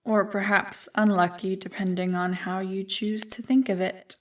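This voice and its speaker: A woman playing an ancient evil female spirit in an ASMR roleplay, seductive, smug and condescending in a deliberate, teasing manner. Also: medium pitch, little pitch movement, far from the mic, natural voice. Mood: amused, condescending.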